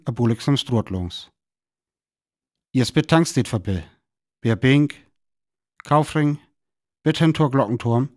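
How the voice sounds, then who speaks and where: A man's voice: medium pitch, darkish tone. A man playing a weary survivor soberly recounting his lonely wandering in a radio play, with a North Frisian accent. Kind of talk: radio play narration and dialogue.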